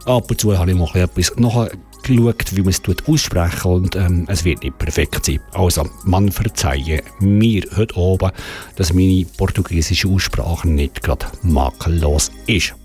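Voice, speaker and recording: A man's voice: deep voice, a little slow, darkish tone, husky. A man with a Swiss German accent hosting a dance music radio show, chatting loosely and correcting himself as he goes. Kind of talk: casual music radio presentation.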